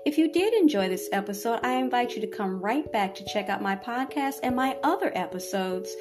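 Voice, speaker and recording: A woman's voice: a little high, animated, brisk. Woman podcast host over music. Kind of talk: podcast intro and sign-off, scripted.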